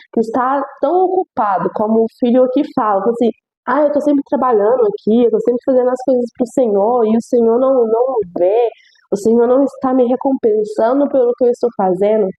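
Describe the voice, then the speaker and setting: A woman's voice: a little high, dark tone, husky, lo-fi sound. A young woman, congested and nasal from a cold, earnestly reading scripture and reflecting on it in a devotional video, often repeating phrases for emphasis.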